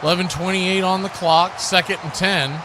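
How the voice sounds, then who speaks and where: A man's voice: high-pitched, fairly even intonation, normal speed. A man doing live play-by-play commentary of a football game as the announcer. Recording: clearly noisy live stream audio.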